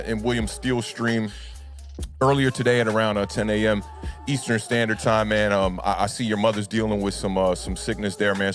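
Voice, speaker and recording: A young man with a low baritone voice, a little rough from being sick, talks in a loose, laid-back way and fills his sentences with "man" and "y'all." Faint music plays quietly under him. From United States. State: under the weather, deeper voice than usual. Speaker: sports talk livestream host. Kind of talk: casual livestream chat with fans.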